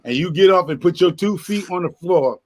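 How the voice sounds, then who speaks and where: A man's voice: high-pitched, fairly quick, a little hiss or hum. A man, telling folksy stories in a casual group chat. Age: 50s. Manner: folksy, drawling storyteller. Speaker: chat participant.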